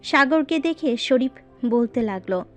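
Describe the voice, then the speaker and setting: A woman's voice: high-pitched, lively intonation, unhurried, a bit bright. A woman narrating a scripted story as its narrator. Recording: voice over background music.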